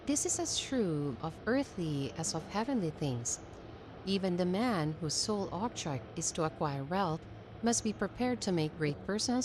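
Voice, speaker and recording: A woman reading an old-fashioned essay aloud as narration, in formal, measured phrasing. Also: fairly low pitch, background noise. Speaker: reader of a self-help text.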